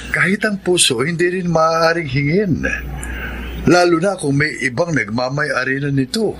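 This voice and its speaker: A man's voice: fairly high pitch, unhurried, a bit bright, slight background noise. A man playing a patient but firm middle-aged father in a Filipino radio drama. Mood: admonishing. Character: patient, firm father counselling his grown son. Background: background music.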